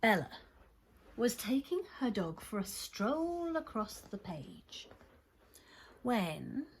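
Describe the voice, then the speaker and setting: Very slow, animated woman reading a storybook. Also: on the high side, slight background noise. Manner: animated, dramatic pauses, gasps and hushed phrases. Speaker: children's story reader. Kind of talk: slow, expressive picture-book read-aloud for young children.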